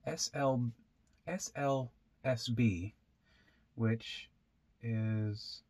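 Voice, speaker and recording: A man's voice: mid-range voice, fairly even intonation, extremely slow, darkish tone, no echo. A man giving a casual, unscripted tour as a home gardener, rambling and thinking aloud as he goes. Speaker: home gardener showing his grow room. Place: indoor basement grow room.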